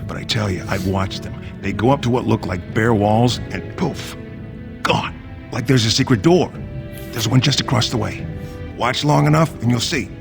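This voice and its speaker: An actor playing a gruff, suspicious dwarf shopkeeper, curt and guarded, in a fantasy game. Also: male voice, medium pitch, normal speed, music in the background, natural voice.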